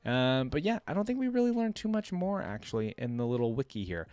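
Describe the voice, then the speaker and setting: A man hosting a reaction video, reading aloud and adding informal asides as he thinks out loud. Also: medium pitch, very animated, fairly quick, smooth, no room sound. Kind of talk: casual commentary, reading wiki text aloud with asides.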